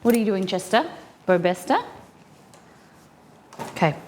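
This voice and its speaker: Casting: woman, live-stream host, chatty, casual, reads trivia aloud, mid-pitched voice. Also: big pitch swings, a little slow, a little mellow, a little hiss or hum.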